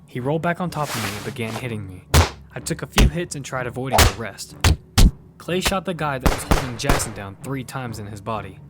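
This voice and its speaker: Man narrating action fiction over loud music. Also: medium pitch, animated, brisk. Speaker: first-person narrator and character in an audio fiction. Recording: loud music bed behind the voice.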